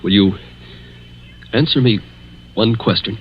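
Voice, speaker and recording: A man's voice: fairly low pitch, a little slow, lightly acted. An actor playing a nerve-racked young man hunted by his stepfather, tense and dramatic in the 1940s radio style. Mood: defiant. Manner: tense, agitated, dramatic delivery. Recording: dull, noisy old 1940s radio recording.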